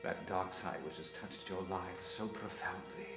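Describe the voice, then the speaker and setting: An actor playing a brilliant, intense detective who speaks in a formal, deliberate, theatrical way in film dialogue. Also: male voice, low-pitched, fairly even intonation. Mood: hushed, intense. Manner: formal, deliberate, expository, articulate. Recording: roomy echo under loud music.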